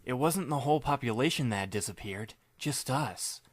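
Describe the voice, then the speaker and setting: A man's voice: mid-range voice, expressive, normal speed, dry recording. Dry, wry young male narrator. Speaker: narrator and lead reader. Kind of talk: first-person audiobook narration.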